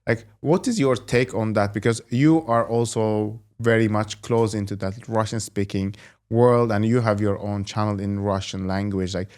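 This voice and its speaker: Brief: male interview host, lowish voice, loose chatty questioning. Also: fairly even intonation, normal speed, a little mellow. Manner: rambling questions full of "you know" and "like". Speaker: host and company executive.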